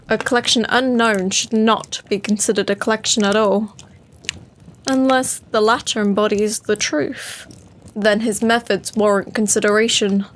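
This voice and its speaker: A woman's voice: medium pitch, natural voice. Noisy recording, woman as cryptic formal narrator. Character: cryptic, formal narrator of a secret story collection. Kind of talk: scripted narration.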